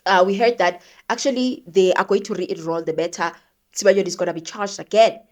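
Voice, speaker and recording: A woman's voice: fairly low pitch, steady tone, fairly quick, darkish tone. A South African woman giving opinionated, conversational news commentary, thinking aloud and posing rhetorical questions. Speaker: commentator, show host.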